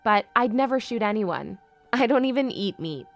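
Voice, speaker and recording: Spirited young fairy-tale princess played by a woman, polite yet bold, fairly high voice over soft music. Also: expressive, normal speed, creaky. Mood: earnest. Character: spirited young princess posing as a lady's maid. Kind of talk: fan audio drama acting.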